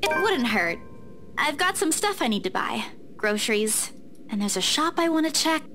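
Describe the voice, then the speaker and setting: A woman's voice: sits high, very animated, normal speed, noisy background. A woman playing a kind, playful young woman in a visual novel game. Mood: light, friendly.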